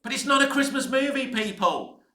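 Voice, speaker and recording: High-pitched, animated British man ranting. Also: normal speed. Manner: emphatic, exasperated, swearing mildly, repetitive. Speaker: film vlogger. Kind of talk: opinionated rant to camera, casual. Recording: echoey, reverberant room.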